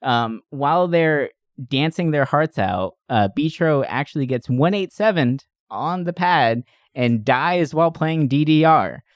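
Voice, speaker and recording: A young man talks close to the mic in a very dry recording with no room sound. He hosts a podcast and retells movies casually and comically. His delivery is animated, full of slangy asides and swearing. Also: fairly high pitch, measured pace, hardly any pauses, no room sound. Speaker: podcast host. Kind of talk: casual comedic movie recap.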